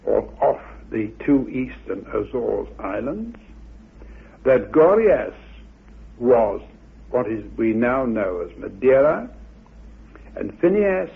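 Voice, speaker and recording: Slow, husky, creaky-voiced scholarly man. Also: medium pitch. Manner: deliberate, scholarly, halting. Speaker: researcher interviewed about mythology. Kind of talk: explanatory talk with quoted recitation. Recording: muffled phone-like old radio recording.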